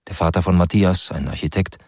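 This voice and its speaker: A man narrating a scripted crime radio drama as a grave, sober storyteller with a measured delivery. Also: low-pitched, a little hiss or hum, close to an everyday voice. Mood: grave, tense.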